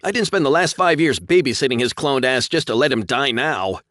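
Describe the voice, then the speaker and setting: A man doing a loud, cursing young pilot. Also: mid-range voice, expressive, a little fast, dry recording, studio quality, voice pushed up. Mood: brash. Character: brash young male pilot, profane and loyal.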